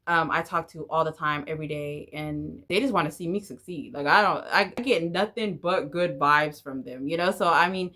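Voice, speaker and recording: A woman's voice: low-pitched, normal speed, hardly any pauses, bright, forceful tone. A woman in her forties, a native Spanish speaker, chatting candidly to camera in a rambling vlog. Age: 40s. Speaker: vlogger. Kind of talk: casual talk to camera.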